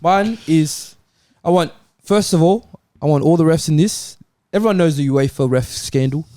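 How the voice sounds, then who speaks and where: A man's voice: a little high, normal speed, warm tone, no room sound, studio quality. A man on a football panel chatting casually, insistent and opinionated. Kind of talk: casual chat.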